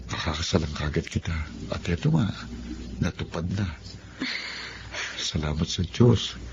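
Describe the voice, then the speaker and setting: A man playing an earnest, devoted lover in a Filipino radio drama, speaking sincerely. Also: a bass voice. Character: earnest, devoted man, grateful survivor and husband. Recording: dull, noisy radio drama recording.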